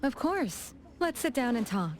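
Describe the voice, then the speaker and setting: A woman's voice: fairly high pitch, very animated, measured pace, slight background noise. A woman voicing a poised, gracious young lady in a video game, composed and polite with a lightly teasing touch. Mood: calm, teasing. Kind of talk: game dialogue acting, storytelling. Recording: music behind the voice.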